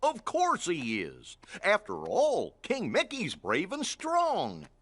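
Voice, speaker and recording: A man voicing a gangly, good-natured cartoon dog in a video game, with a husky, hollow drawl and a bumbling, kindly manner. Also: high voice, wildly swooping pitch, slow, a bit bright, full character voice. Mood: reassuring. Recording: faint game music behind.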